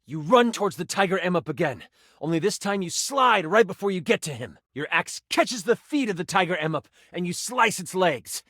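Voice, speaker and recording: A man's voice: high voice, lively intonation, moderate pace, a bit bright, no echo, studio quality. A man playing a playful, dramatic game master who narrates a tabletop fantasy adventure in a video game. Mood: dramatic, engaged. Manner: theatrical second-person storytelling with casual asides.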